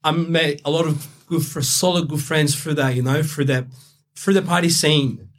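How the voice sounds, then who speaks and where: Laid-back young Brazilian-born man, fairly high voice. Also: steady tone, normal speed. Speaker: interview guest. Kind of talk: candid storytelling.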